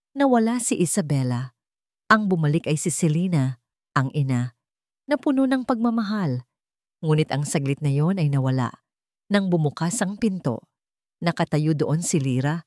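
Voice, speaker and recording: A woman's voice: lowish voice, darkish tone, dry recording, clean studio sound. A woman with a Filipino accent narrating a dramatic scripted story.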